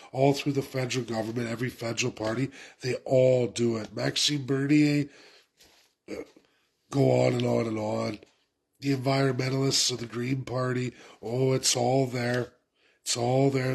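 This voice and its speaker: A man's voice: mid-range voice, creaky, breathy edge. A man with a head cold, sounding congested, giving an urgent, repetitive political rant to his viewers. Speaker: political commentator. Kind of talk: impassioned political rant to camera.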